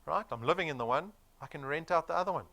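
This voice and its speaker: A South African man around forty delivers a motivational sales presentation. His pitch swings widely and his tone is bright and punchy. He is emphatic and persuasive, often checking in with rhetorical questions. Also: lively intonation, fast, creaky. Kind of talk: motivational business presentation to an audience.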